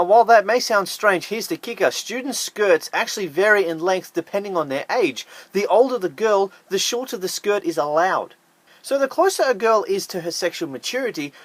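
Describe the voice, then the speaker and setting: High-voiced man narrating a countdown. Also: no echo. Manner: upbeat, emphatic, jokey asides. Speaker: YouTube host. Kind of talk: scripted list narration.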